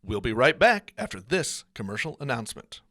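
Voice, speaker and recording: A man's voice: medium pitch, very animated, a little fast, bright, forceful tone, faint music behind. An actor playing an earnest radio announcer delivering an emphatic, salesman-like parody commercial. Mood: earnest, selling.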